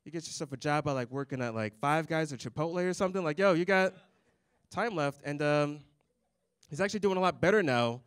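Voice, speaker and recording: A young man performing a stand-up set on a comedy club stage into a handheld mic, with a deadpan, dry delivery and little chuckles. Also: a little high, a little fast, clear, resonant tone, no room sound.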